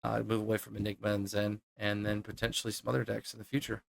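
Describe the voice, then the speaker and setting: A man's voice: on the low side, completely flat delivery, fast talker, vocal fry, a little hiss or hum. A man chatting casually as a podcast host, with loose phrasing that circles a little before landing. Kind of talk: casual conversation.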